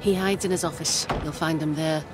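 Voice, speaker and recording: A woman's voice: low voice, fairly even intonation, a little fast, background noise, close to an everyday voice. An actress playing a weary, defiant woman soldier with a terse, commanding manner in a video game. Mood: brisk. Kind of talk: dramatic video game acting.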